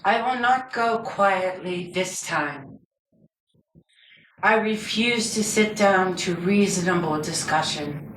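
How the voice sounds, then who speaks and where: A woman reading her own poetry aloud live, with measured, deliberate and dramatic phrasing. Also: on the low side, a bit bright. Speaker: poet reading own work. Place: indoor reading before a group. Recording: roomy, distant mic with background noise.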